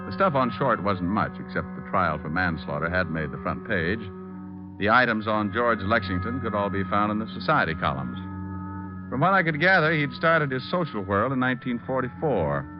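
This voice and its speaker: A man's voice: fairly low pitch, big pitch swings, normal speed. An American man playing a cocky, wisecracking private detective in 1940s radio drama style, wry and flippant. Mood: wry narrating. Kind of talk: 1940s radio drama acting and first-person narration. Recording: dull old radio recording with music.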